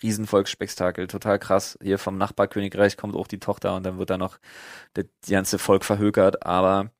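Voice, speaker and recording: Man, lowish pitch, casual joking chat, slang with English bits, very dry sound. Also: completely flat delivery, normal speed, dry recording. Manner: slangy, with English expressions mixed in. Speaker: podcast co-host.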